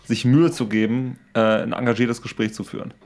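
A man's voice: medium pitch, fairly even intonation, a little fast, creaky, a loud crowd. A young man co-hosting a podcast, rambling in slangy, casual chat among friends. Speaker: podcast co-host.

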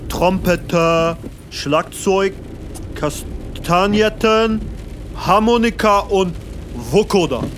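A man voicing a naive, simple small-town rapper in a comedy sketch, speaking haltingly. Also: sits high, lively intonation, a bit bright, a little hiss or hum, exaggerated voice. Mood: naive, puzzled.

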